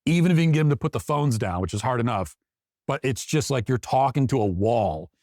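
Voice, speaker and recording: A man's voice: medium pitch, expressive, a little fast, a little mellow, no echo, clean studio sound. A man hosting a talk show, giving emphatic opinion commentary and repeating phrases for stress.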